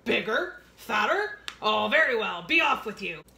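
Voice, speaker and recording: A woman's voice: a little high, sing-song, a little slow, gravelly, sharp tone, reverberant, exaggerated voice. A woman voicing a mean old troll, blustering and menacing in a storybook reading. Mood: greedy. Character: mean old troll under a bridge, greedy and gruff.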